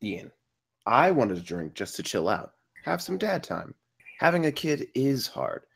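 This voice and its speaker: A man's voice: mid-range voice, big pitch swings, a little slow, gravelly, slightly rough, full character voice. A man at a script table read playing a harried, brash buddy who is a father of many. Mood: earnest.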